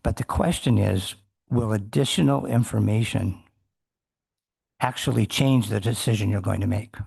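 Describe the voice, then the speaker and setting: A man giving formal public testimony at a fishery council meeting, answering a question in a measured way. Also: lowish voice, vocal fry, darkish tone, slightly rough.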